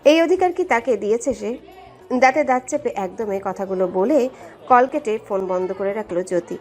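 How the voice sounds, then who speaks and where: A woman's voice: medium pitch, lively intonation, moderate pace, darkish tone. A woman narrating a scripted story in a dramatic storytelling style. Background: background music.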